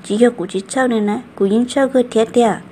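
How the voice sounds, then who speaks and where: A woman's voice: a little high, fast talker, warm tone, slight background noise, close to an everyday voice. A woman voicing a female character in a family drama cartoon, in dramatic dialogue. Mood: conversational.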